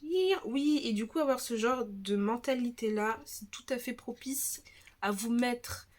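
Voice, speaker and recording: French woman doing a tarot reading, kinda high voice, lots of euh and restarts, bit stuffy. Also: a little slow. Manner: chatty, hesitant, many restarts and fillers. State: slightly stuffy nose. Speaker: tarot reader. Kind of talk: casual tarot reading video.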